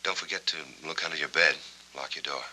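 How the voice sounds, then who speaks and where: Restless forties noir tough guy, deep voice, clipped fast talk, old film hiss. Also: pushed and bright, full character voice. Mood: weary, wry. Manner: clipped, terse, evasive. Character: guarded, restless young war veteran in trouble. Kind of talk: 1940s film noir acting. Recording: noisy old 1940s film soundtrack with hum.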